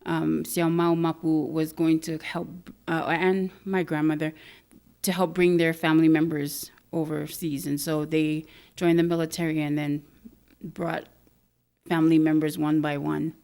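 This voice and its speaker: A woman in her thirties from Hawaii, a podcast guest talking softly and thoughtfully in a casual interview, with light laughs. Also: low-pitched, flat delivery, a little slow, creaky, clear, resonant tone.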